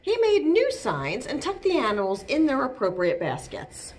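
American lady doing kids storytime, kinda high voice, super animated, takes her time reading. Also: moderate pace, slight background noise. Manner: expressive, clearly paced delivery for young children. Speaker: children's librarian and storytime reader. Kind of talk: reading a picture book aloud to children. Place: small bright indoor room.

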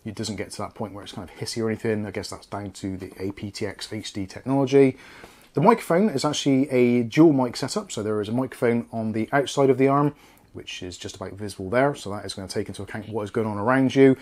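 A man's voice: lowish voice, fast, a little mellow. A man in his forties with an English accent, giving a casual, matter-of-fact product review as a channel host. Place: small home studio room.

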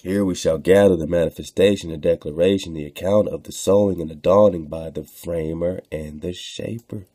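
A man's voice: sits low, fairly even intonation, normal speed, few pauses, mellow, no room sound. A man hosting a radio show, reading texts aloud with informal commentary, in a rhetorical, preacher-like way, repeating phrases for emphasis.

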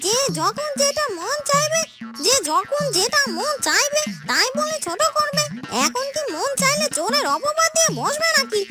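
A woman's voice: very high voice, very animated. A woman playing a feisty, indignant young village housemaid in a cartoon, scolding and defiant. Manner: scolding, defiant, rapid retorts. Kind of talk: Bengali cartoon dialogue. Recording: voice over loud music.

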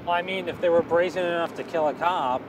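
A man's voice: a little high, fast talker. A man chatting casually as an interviewer on a walking tour, asking short follow-up questions. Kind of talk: casual chat. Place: outdoors on a city street. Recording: distant voice with street traffic.

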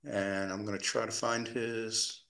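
A man's voice: fairly low pitch, little pitch movement, measured pace, vocal fry, fairly bright tone, echoey room. A man chairing an online meeting, casual and hesitant with many fillers, speaking over a video call. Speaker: meeting moderator.

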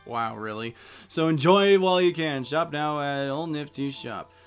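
A man's voice: a little high, moderate pace, clear, resonant tone. Wry, chatty young man playing a game. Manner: chatty, wry asides, reads game text aloud with put-on voices. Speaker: let's play host. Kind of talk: casual gameplay commentary. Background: faint game music.

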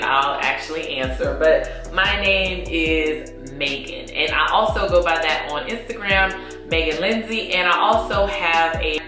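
A woman, chatting casually to viewers while doing her makeup. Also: low voice. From Louisiana. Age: 30s. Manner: chatty, addresses viewers as y'all. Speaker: beauty vlogger. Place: small indoor room against a plain wall. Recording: very echoey room with music behind.

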